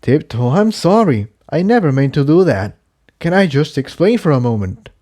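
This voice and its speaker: A man's voice: a little high, very animated, moderate pace, mellow, pitched above the performer's own voice. A man voicing an earnest, cautious male pony in a story reading, explaining himself hesitantly. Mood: apologetic.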